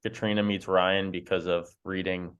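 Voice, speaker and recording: A man's voice: deep voice, little pitch movement, normal speed, clear, resonant tone, lo-fi sound. A man hosting a casual group call, introducing guests and asking questions in a laid-back way. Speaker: host.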